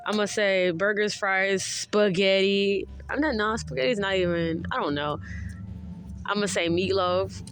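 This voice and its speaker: A woman's voice: medium pitch, a little slow, a bit bright, clean tone. A young woman chatting casually as a podcast guest, laid-back and laughing often, swearing freely. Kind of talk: casual chat.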